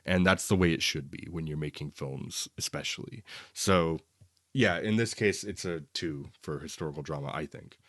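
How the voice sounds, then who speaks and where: A man's voice: a bass voice, moderate pace, fairly bright tone, no room sound, studio quality. A man co-hosting a podcast, casually talking through a film review in a conversational, opinionated way. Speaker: podcast co-host.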